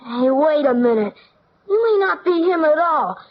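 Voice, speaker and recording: A woman's voice: high voice, lively intonation, normal speed. A woman playing a cocky, slangy, streetwise ten-year-old boy detective in 1940s radio drama style. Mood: shrewd, eager. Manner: brash, slangy, suspicious. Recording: muffled, noisy old radio recording.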